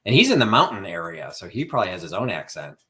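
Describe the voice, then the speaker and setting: A man from the Chicago suburbs with a Midwestern accent, co-hosting a live sale stream in relaxed, chatty talk. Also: deep voice, quick pace. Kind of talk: casual live-stream chat.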